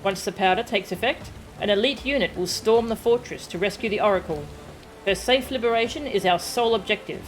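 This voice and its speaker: Military captain read by a woman, video game briefing, measured and commanding, medium pitch, faint music. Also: lightly acted. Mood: businesslike. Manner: measured, formal, authoritative wording.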